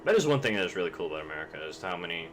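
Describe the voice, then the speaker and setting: A man's voice: low-pitched, big pitch swings, racing, a bit bright, a little hiss or hum. A young man from Kentucky, chatting casually and rambling with plenty of swearing while streaming a game. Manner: rambling, profane, laid-back.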